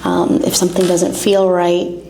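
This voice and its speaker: A woman from Canada speaks as an interview guest in a low, gravelly, mellow voice. She talks very slowly, in short, halting phrases. The room is echoey, with faint noise underneath. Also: completely flat delivery.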